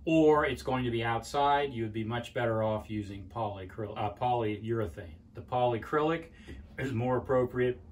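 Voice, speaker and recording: An older man giving a plainspoken woodworking how-to in his home workshop, pausing with "uh" as he explains. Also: mid-range voice, recorded from a distance, slight background noise. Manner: plainspoken, with frequent "uh" pauses and restarts. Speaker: woodworking instructor. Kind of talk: casual how-to demonstration. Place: cluttered home workshop, indoors. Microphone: camera at a distance.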